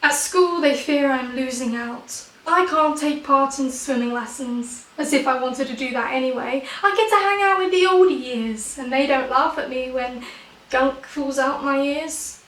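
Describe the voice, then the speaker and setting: Croaky-voiced young woman, roomy. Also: sits high, measured pace, a bit bright, far from the mic, a little hiss or hum. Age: 20s. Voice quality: croaky, blocked-nose voice. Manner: wry, self-mocking, punchy delivery. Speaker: spoken word poet. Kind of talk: spoken word poetry performance.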